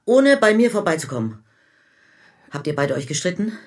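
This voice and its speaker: An actress playing a domineering, sharp-tongued mother-in-law in a radio play, brusque, scornful and interrogating. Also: on the low side, very animated, normal speed. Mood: suspicious. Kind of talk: German radio play acting.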